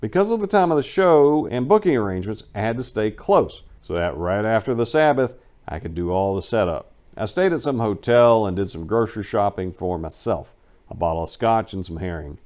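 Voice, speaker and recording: Low-voiced man reading a first-person memoir. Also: a little mellow, clear, resonant tone. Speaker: audiobook reader.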